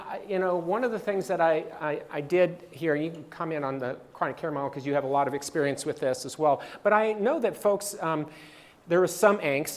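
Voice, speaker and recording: A man presenting slides at a training session, speaking haltingly with many fillers and restarts. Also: on the high side.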